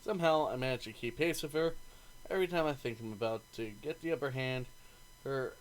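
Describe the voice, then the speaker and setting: A man reading a visual novel's narration aloud as a gaming host, expressive and informal. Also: medium pitch, a little fast, rough recording. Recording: faint game music behind.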